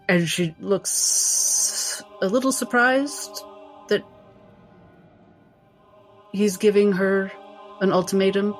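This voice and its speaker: Female roleplayer, slightly low pitch, very unhurried, improvised character narration, faint music. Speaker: player in a tabletop roleplaying game.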